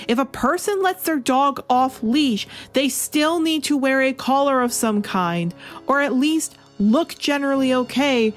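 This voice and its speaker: High-pitched woman giving emphatic review commentary. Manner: emphatic, sarcastic, incredulous. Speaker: comic book reviewer. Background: music behind the voice.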